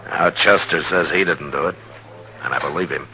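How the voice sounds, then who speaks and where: An American actor playing a gruff, weary, decent Old West marshal in a 1950s radio drama, speaking in a terse, measured way. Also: male voice, rumbling low. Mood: grave, probing. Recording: vintage 1950s radio recording, music.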